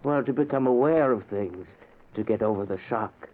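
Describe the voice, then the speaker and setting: An actor playing a kindly, apologetic dead husband who speaks with mild, hesitant reassurance in a 1940s radio drama. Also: male voice, mid-range voice, normal speed, lightly acted. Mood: reassuring. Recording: muffled, noisy old radio recording.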